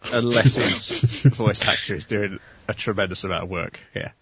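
A man's voice: lowish voice, normal speed, dull audio, background noise. A man hosting a casual chat show, retelling an episode in a wry, giggly way while losing his voice. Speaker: podcast host recapping an episode.

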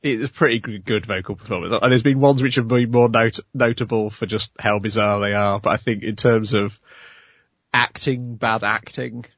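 A man's voice: lowish voice, steady tone, normal speed, a bit bright, dry recording, dull audio. A man hosting a casual chat show, retelling an episode in a wry, giggly way while losing his voice. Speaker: podcast host recapping an episode.

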